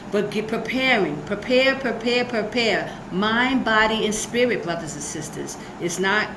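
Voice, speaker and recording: A woman's voice: medium pitch, reverberant, background noise. A woman hosting her channel, talking earnestly and rambling about her diet and about preparing, with many fillers. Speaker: channel host. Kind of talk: casual reaction commentary.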